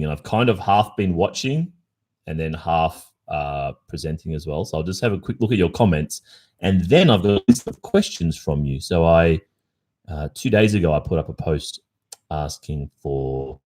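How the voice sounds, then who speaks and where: A man's voice: sits low, darkish tone. An Australian man hosting a casual livestream, chatting with his viewers and reading out their comments. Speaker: ASMR roleplay streamer.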